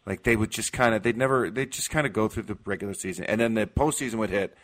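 A man's voice: lowish voice, quick pace, a bit bright. An American man hosting sports talk radio in a casual, informal and enthusiastic way.

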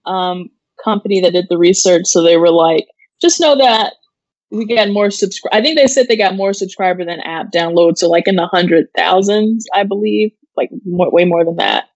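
A woman's voice: fairly low pitch, moderate pace, darkish tone, dry recording. A woman co-hosting an entertainment live show in casual, informal chat. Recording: dull, lo-fi dry audio.

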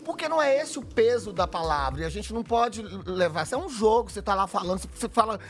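A man chatting as a guest panelist, animated and opinionated. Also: high-pitched, fast. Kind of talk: casual chat.

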